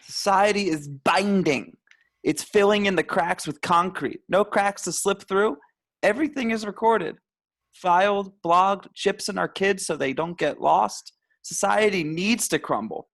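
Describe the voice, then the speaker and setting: A man's voice: high-pitched, a little slow, gravelly, a bit bright, exaggerated voice. A man at an amateur table read playing a paranoid young stoner who rambles in grand, wordy pronouncements. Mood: grandly philosophical. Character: paranoid young male stoner, rambling anti-establishment philosopher.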